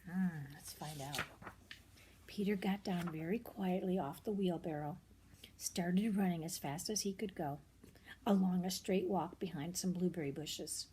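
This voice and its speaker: Mellow older woman reading a picture book aloud. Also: fairly low pitch, unhurried, a little hiss or hum. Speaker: storyteller reading to children.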